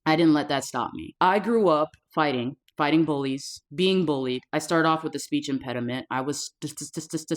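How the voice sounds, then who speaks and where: Woman, 30s, from South Florida; safety coach speaking to camera, earnest, deliberate, leans on key words. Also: extremely low pitch. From South Florida, USA. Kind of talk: personal storytelling to camera.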